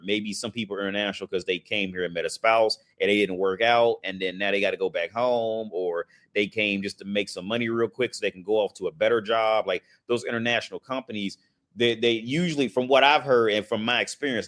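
A man's voice: lowish voice, fairly bright tone. A man in his thirties, talking in a rambling, casual monologue, swearing often and restarting his sentences. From United States. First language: English.

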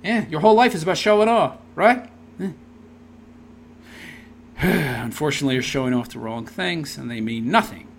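Guy w a kinda high voice reading stuff out and roasting it, super sarcastic. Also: animated, moderate pace, vocal fry, pauses often, a little hiss or hum. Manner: sarcastic and scornful. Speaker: commentary video host. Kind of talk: reading aloud and mocking commentary.

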